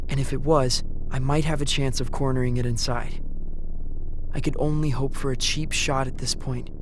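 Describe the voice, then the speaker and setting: A man playing a desperate, starving young survivor of an apocalypse in a scripted first-person audio drama, with a measured, grave manner. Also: mid-range voice, noisy background, natural voice. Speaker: lead actor and narrator. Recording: music under the voice. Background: music.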